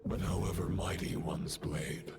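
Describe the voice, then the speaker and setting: A grave, gravelly game villain in service of the Abyss, lofty and threatening, at a slow, weighty pace. Loud game music plays beneath his voice. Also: low-pitched, little pitch movement. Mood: somber. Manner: solemn, lofty, threatening speech. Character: grave male villain swordsman sworn to the Abyss. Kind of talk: video game voice acting.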